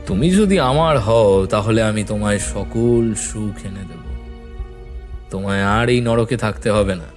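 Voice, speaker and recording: A proud young heir, lovestruck and tipsy, in a dramatised audio story, played by a man. The voice is lowish and slow, earnest and grand, over soft music. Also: lively intonation. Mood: ardent, tipsy. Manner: slurred, earnest, grandly declaring. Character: besotted, drunken, proud young landlord's heir.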